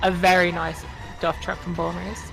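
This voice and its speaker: A woman's voice: lowish voice, little pitch movement, normal speed, vocal fry, over loud music. A woman giving casual, joking live commentary on a video game speedrun in a chatty, playfully mock-dramatic way. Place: live online stream commentary, game audio behind the voice.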